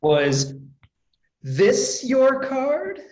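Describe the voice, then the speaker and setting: A man playing a slow-talking nerdy magician. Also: extremely high pitch, wildly swooping pitch, darkish tone, heavily acted, low-quality recording. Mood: meek, hopeful. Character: nerdy, awkward amateur magician, former accountant. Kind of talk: virtual screenplay table read.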